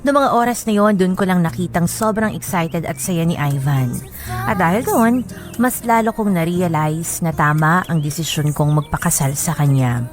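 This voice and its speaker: A woman's voice: lowish voice, normal speed, soft background music, lightly acted. An actress with a Filipino accent narrating as a reflective bride recounting her love story in a heartfelt way for a radio drama. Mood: reflective, fond.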